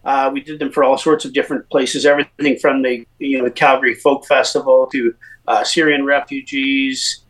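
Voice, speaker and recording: A man's voice: mid-range voice, level tone, normal speed, a bit bright, low-quality recording. A middle-aged man from Winnipeg with a Canadian accent, a musician guest telling his story in a chatty way, with many fillers. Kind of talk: casual interview.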